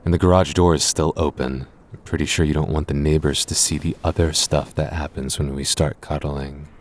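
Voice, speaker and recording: Possessive, affectionate boyfriend performed by a man for audio roleplay: very low-pitched, intimate, teasing delivery. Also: fairly even intonation, fairly quick.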